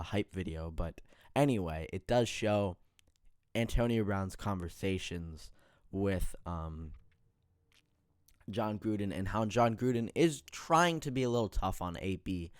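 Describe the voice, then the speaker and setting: Raspy, hoarse male sports podcaster. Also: sits low, no room sound, clean studio sound. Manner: filler-heavy, gets heated and louder when ranting. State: hoarse, tired voice. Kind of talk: casual solo sports podcast.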